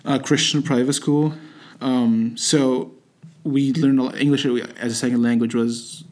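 A young man from Egypt whose first language is Arabic, answering interview questions in a loose, conversational way. He hesitates often, restarts his sentences and fills pauses with "like" and "um." His voice sits at an ordinary, middle pitch for a man. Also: steady tone. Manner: hesitant, many fillers and restarts, laughs softly. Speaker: interviewee, student.

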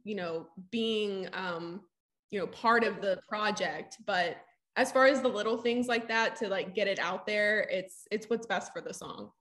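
A woman's voice: mid-range voice, measured pace, fairly bright tone. A woman chats casually in an interview as a singer, with a soulful, gritty voice and informal phrasing full of "like". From Southeast Texas, US. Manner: informal, frequent "like". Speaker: singer-songwriter in a sister duo.

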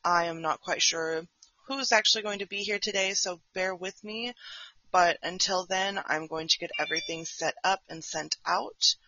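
Woman podcast host, chatty and apologetic, smoke-roughened voice, dull dry recording. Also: fairly low pitch, moderate pace, pushed and bright, dry recording. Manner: chatty, apologetic, with frequent fillers. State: voice affected by wildfire smoke. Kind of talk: casual live-stream chat.

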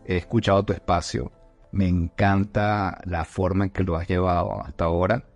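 A man's voice: sits low, flat delivery, moderate pace, gravelly, muffled recording, faint music behind. A man from Venezuela, a leadership coach, talking reflectively as a podcast guest, with frequent restarts and fillers. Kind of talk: conversational podcast interview.

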